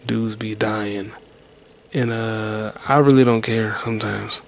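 A man in his twenties rambling alone on his podcast in a detached, low-energy, half-whispered way. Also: flat delivery, slow pace, creaky. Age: 20s. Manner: low-key, detached, mumbling. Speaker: podcast host. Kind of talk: rambling solo podcast monologue.

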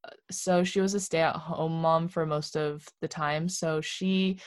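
A young woman chatting casually with friends in a relaxed, thoughtful way, with some hesitant fillers. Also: low-pitched, unhurried, clean tone, dry recording, clean studio sound. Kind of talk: casual chat.

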